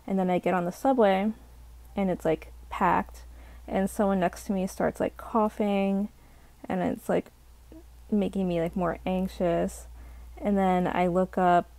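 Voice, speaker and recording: A young woman, slightly hoarse, telling a rambling casual storytime full of "like" fillers. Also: mid-range voice, fairly even intonation, measured pace, a little mellow, dry recording. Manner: rambling, heavy use of "like" fillers. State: slightly hoarse from a sore throat. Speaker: vlogger.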